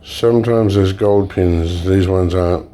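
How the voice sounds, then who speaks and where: A man hosting a recycling channel, talking casually while taking apart electronics, slightly hoarse and pausing with fillers. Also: low voice. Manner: relaxed, explains while working, frequent fillers and restarts. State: slightly hoarse, clearing throat. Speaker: e-waste recycling channel host. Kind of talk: casual hands-on demonstration.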